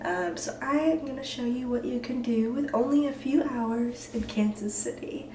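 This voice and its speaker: A young woman with a bit of a sore throat talks to a camera held at arm's length, rambling casually with plenty of ums. Her voice sits on the high side, and clear traffic and outdoor noise runs underneath. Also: moderate pace. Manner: chatty, many ums. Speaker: travel vlogger. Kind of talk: casual vlog talk to camera. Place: in a car and outdoors by a river. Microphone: handheld camera at arm's length.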